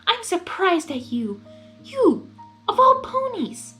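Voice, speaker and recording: A woman voicing a gentle female pegasus pony who speaks up earnestly and exclaims in a story reading. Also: very high voice, big pitch swings, slow pace, faint music behind, pitched above the performer's own voice, heavily acted. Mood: earnest reproach. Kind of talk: fan fiction character reading.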